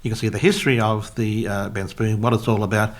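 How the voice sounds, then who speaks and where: A man's voice: on the low side, fairly even intonation, fast talker. A man in casual conversation on a podcast.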